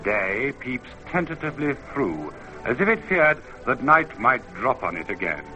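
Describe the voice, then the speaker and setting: An actor playing the ancient spirit of a mountain in an old-time radio drama, grand and poetic, with solemn theatrical declamation. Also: male voice, mid-range voice, sing-song, normal speed, bright, forceful tone. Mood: grand, reverent. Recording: dull vintage radio recording, faint music.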